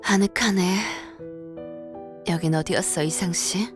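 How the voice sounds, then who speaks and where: A woman's voice: fairly low pitch, fairly even intonation, a little slow, close to an everyday voice. An actress playing a cool, easygoing woman in a Korean game, speaking casually and familiarly. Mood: relaxed, curious. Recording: music behind the voice.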